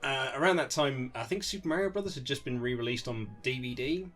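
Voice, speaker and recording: Male interview guest, medium pitch, rapid chatty delivery, self-deprecating storyteller. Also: a bit bright, faint music behind. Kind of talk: casual chat, telling anecdotes.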